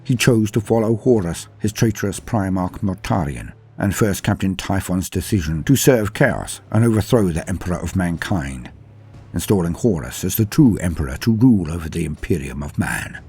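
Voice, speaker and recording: Male narrator, low and raspy, grandiose dramatic storyteller for scripted fantasy lore. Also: animated, moderate pace, a little mellow, faint music behind. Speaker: narrator and presenter of a lore channel.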